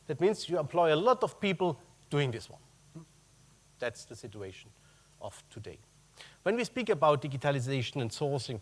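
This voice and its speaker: A middle-aged German man with a German accent giving a business talk, chatty and wry, with non-native phrasing and "huh?" asides to the audience. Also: medium pitch. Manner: conversational, adds "huh?" tags to check in with the audience. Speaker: conference speaker, purchasing executive. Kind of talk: business presentation with slides. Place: conference room.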